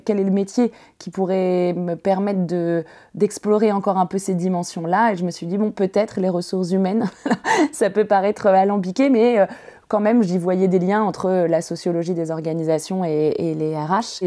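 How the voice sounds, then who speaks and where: A woman's voice: lowish voice, mellow. A woman from northern France in her thirties, chatting as a podcast guest, hesitant, with many fillers and restarts. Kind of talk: casual interview chat.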